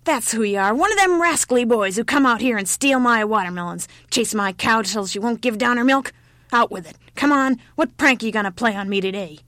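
Storybook old crone, played by a female narrator, loud, cranky and folksy, hard of hearing, dry close sound. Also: on the high side, expressive, brisk, dry recording, voice pushed up. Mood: grumpy, scolding. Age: older. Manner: shouting, scolding, folksy. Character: grumpy, exasperated, slightly deaf old peasant woman.

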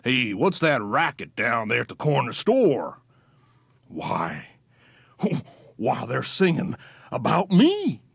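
A man voicing a proud bullfrog who drawls slowly in a croaky storybook way. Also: mid-range voice, wildly swooping pitch, no room sound, voice pushed down, heavily acted. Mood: puzzled, proud. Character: proud bullfrog, puffed up and slow.

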